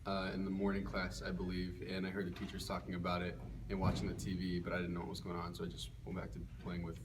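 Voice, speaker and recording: Low-voiced young man, distant and noisy. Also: monotone, fast talker. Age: young adult. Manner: hesitant, uncertain, many fillers. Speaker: interviewee. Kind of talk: casual interview answers. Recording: distant mic with clear background noise.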